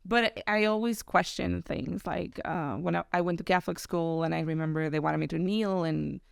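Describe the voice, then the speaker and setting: A woman with a Mexican Spanish accent, her voice lower than most and smooth, with a gravelly edge at the ends of phrases. She tells her story in relaxed conversation with quick asides, and the sound is close and dry. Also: no echo. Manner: animated, laughs often, quick asides. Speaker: podcast guest. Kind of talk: casual interview storytelling.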